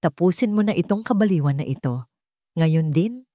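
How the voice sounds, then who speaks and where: A woman's voice: fairly low pitch, lively intonation, normal speed, dark tone, no room sound, studio quality, close to an everyday voice. A woman voicing a cold, furious, elderly rich matriarch in an audio drama, controlled, commanding and scornful. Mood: stern command.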